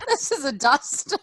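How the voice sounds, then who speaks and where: A woman's voice: sits high, fairly bright tone, dry recording, clean studio sound. A woman chatting casually as a guest, giggly and often searching for her words. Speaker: guest performer. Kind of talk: casual chat.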